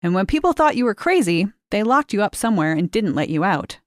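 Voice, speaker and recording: A woman reading short fiction aloud in a measured, unhurried way. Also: medium pitch, sing-song, dry recording, studio quality. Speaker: narrator.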